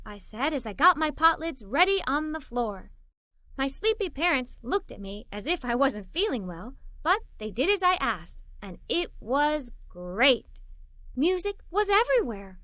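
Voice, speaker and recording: A woman voicing an excitable, curious little girl who tells a children's story in a playful, expressive way. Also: sits high, dry recording, clean studio sound, exaggerated voice. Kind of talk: scripted children's story read-aloud.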